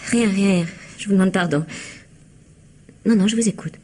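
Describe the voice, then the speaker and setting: An actress playing an indignant, evasive young woman suspected of murder in a radio mystery, with a husky, breathy, flustered delivery. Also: mid-range voice, normal speed, darkish tone, a little hiss or hum, lightly acted. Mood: flustered, affronted. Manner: flustered, hesitant, protesting. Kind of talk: French radio mystery drama acting.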